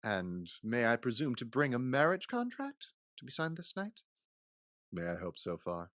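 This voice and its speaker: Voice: medium pitch, sing-song, normal speed, a bit bright, no echo, studio quality. An actor playing a swaggering, declamatory male suitor, a blustering knight in a Restoration comedy. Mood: ardent. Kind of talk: theatrical Restoration comedy reading.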